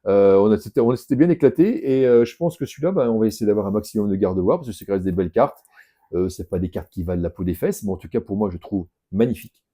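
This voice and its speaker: A man's voice: lowish voice, lively intonation, fast talker, warm tone. A middle-aged man co-hosting a casual card unboxing, joking and rambling with fillers. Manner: jokey, chatty, rambling with fillers. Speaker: shop co-host opening card packs.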